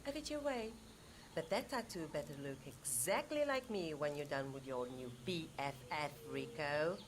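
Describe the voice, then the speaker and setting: A woman's voice: deep voice, animated, moderate pace, noisy background. A woman playing a sulky, sarcastic crime boss's girlfriend in a video game. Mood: huffy, sarcastic. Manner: sarcastic, huffy.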